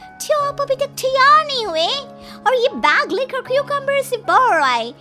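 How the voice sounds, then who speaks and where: A woman's voice: extremely high pitch, sing-song, measured pace, over music, full character voice. A woman voicing an earnest, devoted young daughter-in-law in a cartoon story, melodramatic in manner. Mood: upset, protective.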